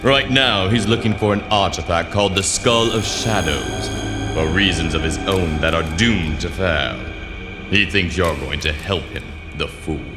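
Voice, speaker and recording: A man's voice: low-pitched, unhurried, over music. A man acting a scheming older wizard mentor in a video game, speaking with silky, commanding drama. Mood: contemptuous, scheming.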